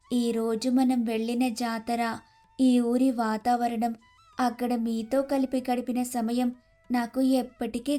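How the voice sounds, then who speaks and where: A woman's voice: on the high side, mellow, natural voice. A woman playing an earnest, respectful young daughter-in-law in a moral story. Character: eager, grateful, trusting young daughter-in-law. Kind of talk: scripted storybook acting.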